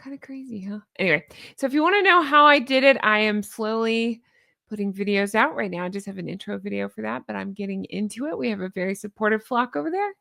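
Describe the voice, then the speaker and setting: A woman's voice: mid-range voice, lively intonation, quick pace, gravelly, bright, forceful tone, no room sound. A woman in her thirties with a Southern US accent, hosting a chatty, sarcastic commentary vlog from a home studio mic. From American South. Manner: chatty, sarcastic, laughs often. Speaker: YouTube commentary host. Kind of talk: casual reaction vlog. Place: home studio with neon lights. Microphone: studio mic on boom arm.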